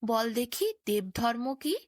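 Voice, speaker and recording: A woman's voice: a little high, lively intonation, slow pace, no echo, studio quality. A woman voicing a wicked, menacing male water demon in a taunting, commanding way for a bedtime fairy tale. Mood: menacing, challenging.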